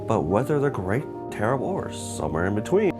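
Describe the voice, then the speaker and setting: A man's voice: fairly low pitch, big pitch swings, a little fast, loud music behind the voice. A man narrating a scripted review as host, with emphatic, rhetorical phrasing. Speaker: host of a video game movie review show.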